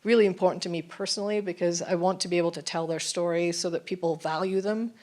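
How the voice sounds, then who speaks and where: Woman, corporate exec; lower voice, earnest and animated on a panel. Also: fast. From Scotland. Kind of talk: panel discussion. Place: festival panel stage.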